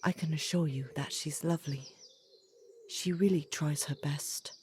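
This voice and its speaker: A woman playing a courteous, devoted queen consort in a fantasy audio drama, polite and composed, with a husky voice. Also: sits low, steady tone, unhurried, darkish tone, a little hiss or hum. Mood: fond. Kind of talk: scripted fantasy audio roleplay.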